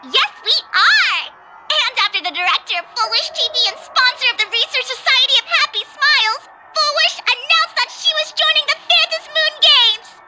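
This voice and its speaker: A woman voicing a tiny, squeaky, excitable cartoon mascot who reads mock news as a dramatic co-anchor. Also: moderate pace, faint music behind, exaggerated voice, rough recording. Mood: cheerful. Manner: excitable, dramatic newscaster delivery. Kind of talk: scripted mock TV news presenting.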